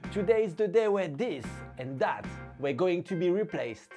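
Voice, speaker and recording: A young adult man hosting a scripted, playful fact vlog in an animated way. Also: sits high, moderate pace. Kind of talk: energetic scripted fact-of-the-day vlog with skits. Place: small furnished room indoors. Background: background music.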